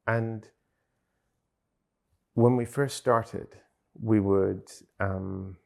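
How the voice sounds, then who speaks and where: A man with a low voice and a noticeable creak is being interviewed. He explains technical points in a measured way, pausing partway through sentences as he builds each explanation. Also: fairly even intonation, darkish tone. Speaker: audio company chief executive interviewee.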